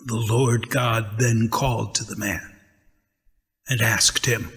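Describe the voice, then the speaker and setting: A man giving a dramatic scripture narration in a measured, deliberate manner as the reader. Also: fairly low pitch, fairly even intonation, a bit bright, in a large hall.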